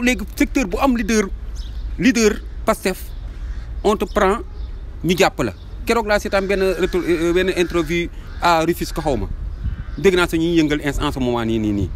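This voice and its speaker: A middle-aged man with a Senegalese accent giving an impassioned street opinion, emphatic and full of rhetorical questions. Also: high-pitched. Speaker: street interviewee. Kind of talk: impassioned vox pop opinion, mixing two languages. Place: outdoors in a city street. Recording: outdoor street ambience and traffic.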